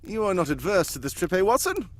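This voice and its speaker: A performer playing a self-assured, probing detective in a live old-time radio mystery, with a pompous, deliberate delivery. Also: mid-range voice, sing-song, close to an everyday voice. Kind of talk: live 1940s radio mystery acting with comic ad-libs.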